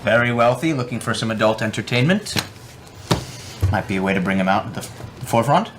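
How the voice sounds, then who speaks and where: A man's voice: medium pitch, expressive, fairly quick, background noise. A man playing a tabletop game, speaking casually in character.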